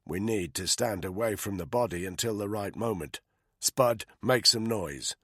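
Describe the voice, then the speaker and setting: A hard-bitten soldier giving quiet, clipped orders in a low, grim voice, as a narrator plays him. The voice is husky and dry, close and unadorned. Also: male voice, measured pace, no room sound. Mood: grim, commanding. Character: hard-bitten male soldier, commanding and calm.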